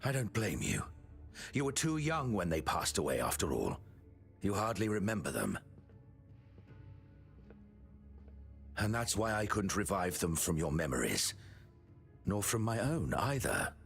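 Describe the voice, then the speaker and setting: An actor playing an obsessive, callous puppet-maker villain in a video game, theatrical, swinging between musing and menace. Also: male voice, low-pitched, huge pitch swings, moderate pace, creaky, a bit bright, soft background music, exaggerated voice. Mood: wounded, brooding.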